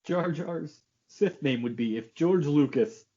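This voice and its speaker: A man's voice: fairly high pitch, takes it slowly, warm tone, highs cut off, slight background noise. A man co-hosting a podcast, chatting casually about films in a joking, profane way. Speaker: podcast co-host.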